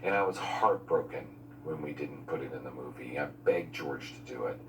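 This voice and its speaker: Low-voiced man in an echoey room. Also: normal speed, a bit bright. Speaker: film crew member. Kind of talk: informal behind-the-scenes reminiscence. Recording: noisy, echoey, distant mic with hum.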